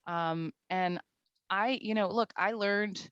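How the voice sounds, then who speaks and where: A chatty woman in her forties from Morocco, speaking on a discussion panel. Her voice sits at a middle pitch. The sound is dry and close, with no room in it. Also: slow pace, fairly bright tone, smooth, no room sound, muffled recording. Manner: chatty, laughs often, many fillers. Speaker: panelist, CISO.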